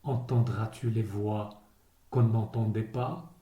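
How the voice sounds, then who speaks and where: Slow, dark-voiced man reciting poetry. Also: fairly low pitch, steady tone. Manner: measured, grave, short phrases with pauses. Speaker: poet reading a poem. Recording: roomy, echoing voice recording.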